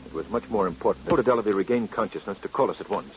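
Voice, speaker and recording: An actor playing an assured gentleman detective in a vintage radio drama, with an earnest, clipped, theatrical delivery. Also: fairly low pitch, very fast, faint music behind, close to an everyday voice. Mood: intent. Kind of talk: 1940s radio drama acting. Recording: dull old radio recording.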